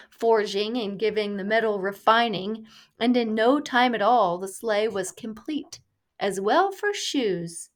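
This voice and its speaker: Female storybook reader, higher-pitched, unhurried and deliberate, reading rhyming verse for children.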